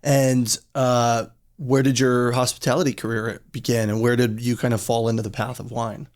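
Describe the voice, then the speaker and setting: A man hosting a casual podcast interview, asking questions in a relaxed way with many fillers. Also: mid-range voice, normal speed. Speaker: podcast host.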